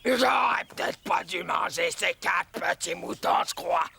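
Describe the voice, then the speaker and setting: Super croaky harsh giant, brutish and grumbling, cartoon style, highish strained voice. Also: male voice, unhurried, pushed and bright, full character voice. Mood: queasy, regretful. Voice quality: gravelly, strained rasp. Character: brutish, gluttonous giant parent, bloodthirsty and grumbling. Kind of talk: French-dubbed fairy tale cartoon acting.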